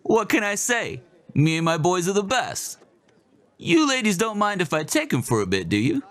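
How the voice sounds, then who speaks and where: A man's voice: high-pitched, big pitch swings, moderate pace, a bit bright, no room sound, voice pushed up. A man doing a cocky young jock. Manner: swaggering, boastful. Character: cocky young male star quarterback.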